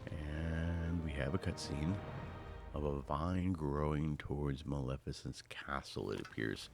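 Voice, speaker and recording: A man's voice: a bass voice, unhurried, vocal fry, over music. A man giving casual walkthrough commentary on a video game, talking through each of his own actions. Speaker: gaming video host.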